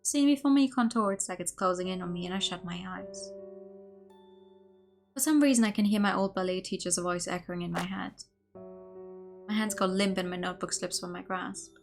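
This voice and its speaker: A woman streamer reading a visual novel aloud in an expressive way, with laughing asides. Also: medium pitch, fairly quick. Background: faint game music.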